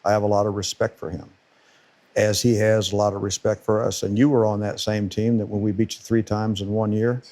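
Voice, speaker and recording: A middle-aged man speaks in a powerful, somewhat low voice. He is a football coach in relaxed podcast talk, hammering his points with repetition. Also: fairly quick. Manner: emphatic, repeats words for stress. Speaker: football defensive coordinator. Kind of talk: casual podcast conversation.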